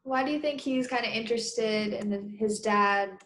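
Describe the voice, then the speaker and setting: A woman teacher reading a children's story aloud, pausing with conversational explanations and questions for pupils. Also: a little high, fairly bright tone, roomy sound.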